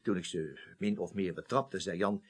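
An actor playing an evasive office superior under questioning in a Dutch radio play, hesitant, rambling and defensive. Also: male voice, low voice, normal speed, breathy edge. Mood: hesitant recalling.

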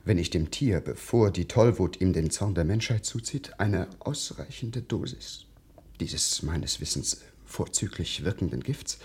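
An actor playing a scheming, ingratiating aristocrat in a German radio drama, theatrical and over-polite, with a breathy voice that often drops to whispers. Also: male voice, on the low side, darkish tone, full character voice. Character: scheming, ingratiating male English aristocrat, outwardly courteous.

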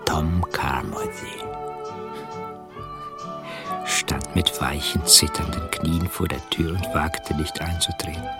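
An actor playing a calm, brooding rural man who narrates an eerie radio drama in an unhurried, ominous, deliberate way. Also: extremely low pitch, over loud music, exaggerated voice. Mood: grave. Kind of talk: radio drama narration, literary first person.

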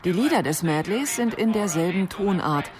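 A woman's voice: mid-range voice, lively intonation, normal speed. A woman reading a scripted encyclopedic definition in a matter-of-fact way. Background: music.

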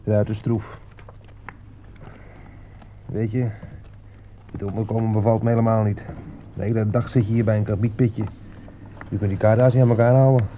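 Male actor as resigned, grumbling frontline soldier in radio drama, fairly low, thin noisy sound. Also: flat delivery, moderate pace, pauses often, lightly acted. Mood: glum. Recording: tinny, hissy old radio recording.

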